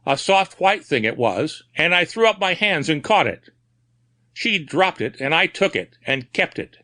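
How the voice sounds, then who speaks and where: A man's voice: fairly high pitch, big pitch swings, a little slow, pushed and bright, voice pushed up, exaggerated voice. A man voicing a refined but haunted asylum patient, feverish and confiding, who breaks into frantic cries.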